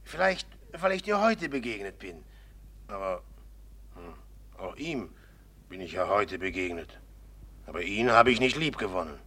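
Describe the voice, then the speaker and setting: An actor in a radio play playing a rough, impulsive, domineering rich young man who talks bluntly and commandingly. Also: on the low side, big pitch swings, measured pace, long pauses, bright, forceful tone, heavily acted. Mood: impulsive, eager. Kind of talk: 1950s German radio play acting.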